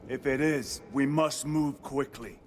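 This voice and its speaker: An actor playing a loyal, urgent space marine soldier in a video game, terse and earnest in a military manner. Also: male voice, mid-range voice, steady tone, measured pace, slight background noise, close to an everyday voice. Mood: grave, urgent. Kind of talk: cinematic video game acting. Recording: loud music over the voice.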